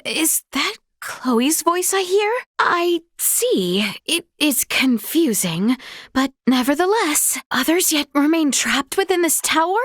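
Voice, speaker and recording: A woman's voice: extremely high pitch, a bit bright, dry recording, studio quality, full character voice. A woman voicing an earnest, courteous young noblewoman warrior in a video game, with formal, old-fashioned, genteel phrasing. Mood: polite, determined. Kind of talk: scripted video game character voice lines.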